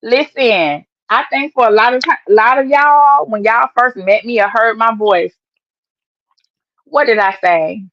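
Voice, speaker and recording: A slightly hoarse woman hosts a casual news livestream and chats to her viewers. She talks emphatically and often says her points twice. The sound is dull and lo-fi, close and dry. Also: a little high, a little slow, fairly bright tone, dry recording. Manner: chatty, repeats her points, emphatic exclamations. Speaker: host of an online news show.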